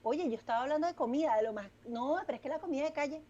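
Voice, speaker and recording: A woman's voice: sits high, very fast, warm tone, muffled recording. A middle-aged woman with a strong Venezuelan accent, a clinical psychologist chatting casually as an interview guest and telling stories with self-deprecating humor. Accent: Venezuelan Spanish.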